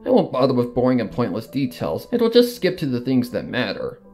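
A man's voice: medium pitch, wildly swooping pitch, brisk, a little mellow, soft background music. A man voicing a grieving, loyal female cartoon pony, solemn and confessional in a dramatic fan fiction reading. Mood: sorrowful.

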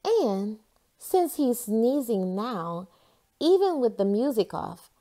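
A woman voicing an eager, confident young girl scientist in a storybook, in an expressive, instructive manner. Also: on the high side, slow pace, voice pushed up. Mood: explaining, confident.

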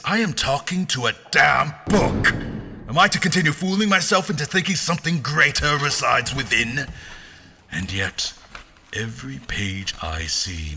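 A brooding, bitter lord of a fantasy audio drama, speaking slowly in a fairly low voice. He is theatrical and archaic, halting between grand phrases, over noticeable background noise. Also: sharp tone, heavily acted. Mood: troubled, musing. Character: brooding, grandiose man, weary and bitter, musing alone.

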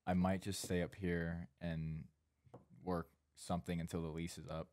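A man's voice: low-pitched, little pitch movement, normal speed, darkish tone, no echo, studio quality. A young man talking casually as a college student guest on a podcast. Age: young adult. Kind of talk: casual chat. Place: at a dining table in a home. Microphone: headset.

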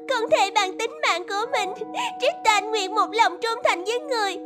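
A high-pitched pleading young maid. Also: female voice, moderate pace, loud music behind the voice. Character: frightened, loyal young maidservant. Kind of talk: animated fairy-tale dubbing.

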